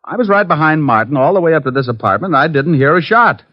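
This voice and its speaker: An actor playing a wisecracking, unflappable private detective with a flippant deadpan wit in a 1950s radio drama. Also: male voice, fairly high pitch, fast. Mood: reasoning, persistent. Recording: dull old-time radio recording.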